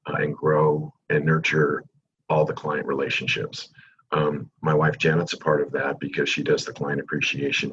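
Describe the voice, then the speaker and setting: A middle-aged American man, a real estate agent, answering interview questions at length in a calm, measured way. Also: highs cut off, a little hiss or hum. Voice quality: calming low voice. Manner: calm, measured, long-winded explanations.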